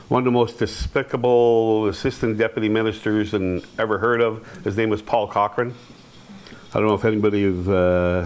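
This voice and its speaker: A man in his fifties from Quebec whose first language is Algonquin, telling his life story on a panel in a relaxed way, with many pauses, into a lapel mic. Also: on the low side, smooth. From Quebec, Canada. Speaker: panel speaker. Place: hall with curtained stage, audience present.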